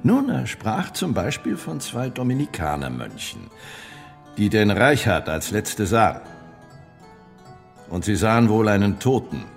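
An actor in a German radio play playing a shrewd, self-assured court politician who speaks in a deliberate, pointed way. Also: male voice, fairly low pitch, sing-song, creaky, soft background music, heavily acted.

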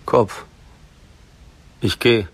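An actor playing a wavering man who lets a coin toss decide, speaking in terse, clipped lines. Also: very slow. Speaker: radio play actor. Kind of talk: radio drama acting.

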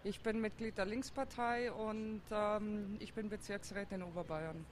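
A woman's voice: medium pitch, steady tone, moderate pace, a little hiss or hum. A woman answering a street interview outdoors, thoughtful and hesitant, with many fillers as she talks about politics. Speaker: interviewee, district councillor. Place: outdoors on a busy city square.

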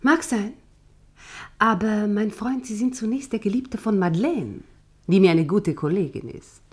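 Vain stuck-up wife in a radio play, bossy n cutting, bit of a flirt, voice swoops around a lot. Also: female voice, medium pitch, expressive, a little slow, husky. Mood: coquettish, haughty. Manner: imperious, cutting, coquettish. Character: vain, haughty, mocking actress wife. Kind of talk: radio drama acting.

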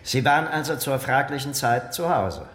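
Casting: probing, dry-toned investigator acted by a man, mid-pitched, reverberant stairwell-like sound, radio crime drama. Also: expressive, normal speed, fairly bright tone, natural voice. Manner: dry, pointed questioning. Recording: echoey hall, faint rumbling noise.

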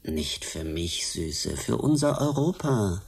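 A gravelly, low-voiced male secret service interrogator in a radio drama. He is condescending and falsely polite, with a husky edge and a steady undertone of threat. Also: slow, full character voice. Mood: insinuating, pressing. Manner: mock-polite, condescending, needling. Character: menacing male state security agent, smugly insinuating. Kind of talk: German radio drama acting.

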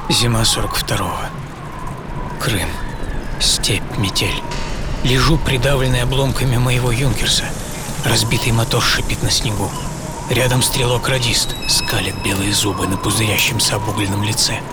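A man's voice: medium pitch, steady tone, moderate pace, lightly acted. A man playing a wounded German pilot turned artist in a dramatized, reflective first-person radio monologue, speaking in terse, theatrical phrases. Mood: grim, pained. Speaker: storyteller. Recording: music under the voice. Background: prominent music.

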